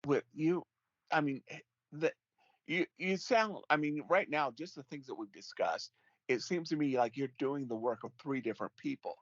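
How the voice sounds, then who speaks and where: A man's voice: on the high side, moderate pace, dry recording, highs cut off. A man hosting an interview, giving short supportive replies and stumbling through restarts as he asks questions. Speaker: podcast host. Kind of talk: casual interview.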